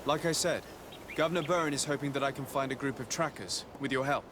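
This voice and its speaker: A man playing a composed young noble diplomat in a video game, speaking in a formal, measured and polite way with quiet resolve. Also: mid-range voice, noisy background, close to an everyday voice. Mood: earnest, resolute. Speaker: diplomat character. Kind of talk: video game cutscene acting.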